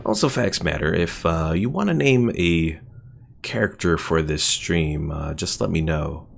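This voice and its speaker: A man's voice: low voice, lively intonation, unhurried, creaky. A man giving casual livestream commentary on a game and talking with his chat as host. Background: game music.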